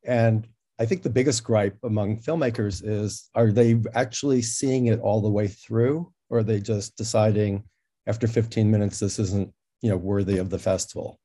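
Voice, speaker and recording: A man with a fairly low, very soft-edged and mellow voice hosts a conversation. He puts his questions casually, tentatively feeling his way through them. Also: steady tone, normal speed. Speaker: interviewer, session host.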